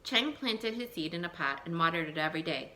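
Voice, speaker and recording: A woman teacher reading a picture book aloud to young children, expressive, thinking aloud and putting questions to her listeners. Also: fairly low pitch, fairly quick. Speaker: elementary school teacher.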